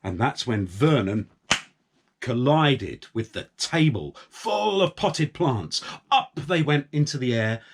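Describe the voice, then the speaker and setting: A man's voice: mid-range voice, sing-song, breathy edge. A man telling a story to young children in a playful way, drawing out and repeating words. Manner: playful, with drawn-out words and repetitions.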